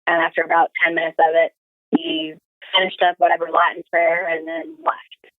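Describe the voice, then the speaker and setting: Young woman, low voice, phone-like sound. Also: completely flat delivery, moderate pace, no echo. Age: young adult. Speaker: podcast guest. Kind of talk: casual personal storytelling. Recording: narrow phone-like call audio.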